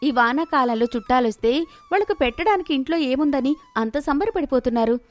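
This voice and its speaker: A woman voicing an overworked, sharp-tongued village wife in a storybook tale. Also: high-pitched, expressive, brisk, faint music behind. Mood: irritated. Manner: complaining, sarcastic.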